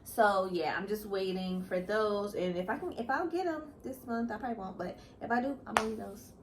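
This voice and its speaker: A woman's voice: mid-range voice, fairly quick, slight background noise. A woman co-hosting a casual, colloquial chat about books in an easygoing way. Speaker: co-host of a book channel.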